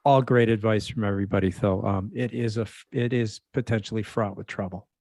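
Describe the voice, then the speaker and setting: A man's voice: fairly low pitch, steady tone, brisk, darkish tone, dry recording, studio quality. A man offering conversational advice as a panelist on an online call. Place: online video call, close dry sound.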